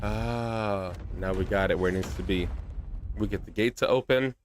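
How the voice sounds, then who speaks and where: Low-voiced guy doing casual gameplay commentary. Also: moderate pace, slight background noise. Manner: laid-back, thinking aloud. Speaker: gaming video creator. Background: game music.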